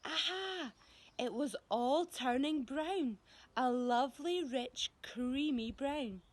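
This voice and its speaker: A woman reading a story to schoolchildren expressively, giving descriptive words dramatic, deliberate emphasis. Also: high voice, dry recording.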